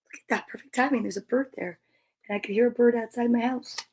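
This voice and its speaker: A woman's voice: a little high, fairly even intonation, quick pace, darkish tone, husky. A woman hosting a live children's story time, reading aloud and chatting to viewers with playful, encouraging exclamations. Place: at home.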